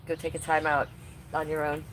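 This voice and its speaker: A woman's voice: low voice, completely flat delivery, a little fast, a little hiss or hum, low-quality recording. A woman with a summer cold live streaming outdoors, answering her chat by name and coughing between remarks. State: congested with a cold. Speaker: livestreamer. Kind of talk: casual live stream chat reading comments.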